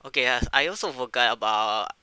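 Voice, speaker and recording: A male game streamer speaking halting non-native English in casual live commentary over a windy, uncovered microphone. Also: medium pitch, expressive, sharp tone, smooth. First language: not English. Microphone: microphone without its cover.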